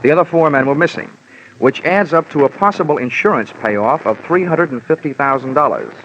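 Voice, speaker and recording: An actor playing a wry, world-weary American insurance investigator in a 1950s radio detective drama, sardonic and wisecracking. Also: male voice, fairly high pitch, expressive, a little fast, a bit bright, slight background noise. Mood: sardonic, impatient. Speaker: lead and narrator. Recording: dull old radio recording, music.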